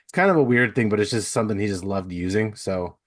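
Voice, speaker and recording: Low-voiced man hosting a casual podcast. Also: fast, dry recording, clean studio sound. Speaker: podcast host. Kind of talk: casual conversational interview.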